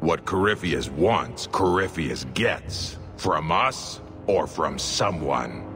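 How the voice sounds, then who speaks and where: An actor playing a menacing, fanatical dwarf cult leader in a fantasy video game, taunting and zealous. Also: male voice, a bass voice, expressive, slow, over music, exaggerated voice. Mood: cold devotion. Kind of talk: dramatic fantasy game acting.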